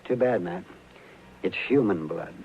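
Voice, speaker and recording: An actor playing a crotchety, excitable old town doctor in a 1950s radio western, with a fussy, testy, muttering delivery. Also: male voice, low voice, measured pace, loud music behind the voice. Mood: absorbed, grave. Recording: dull, noisy old radio recording.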